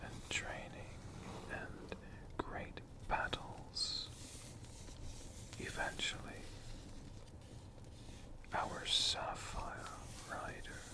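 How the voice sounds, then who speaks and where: A man playing a friendly, bookish dragon, telling stories in a hushed, whispered and soft-spoken ASMR style with long, deliberate pauses. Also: poor audio. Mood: hushed, reverent. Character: friendly male dragon shopkeeper, gentle bookish storyteller. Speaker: ASMR host.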